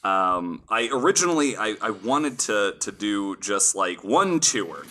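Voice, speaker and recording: A man's voice: fairly low pitch, bright, forceful tone. A man hosting a podcast, telling a story casually from notes in informal language with the odd swear word.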